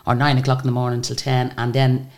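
An Irish woman with a very deep voice, a singer chatting as a guest and telling stories in a lively, animated way. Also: fast talker. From Ireland. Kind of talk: casual interview storytelling.

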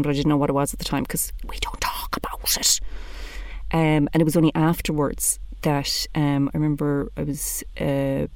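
Irish woman in her thirties, blunt animated comic, dry close sound. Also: low voice, vocal fry, no echo. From Ireland. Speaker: comedian. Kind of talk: stand-up comedy monologue.

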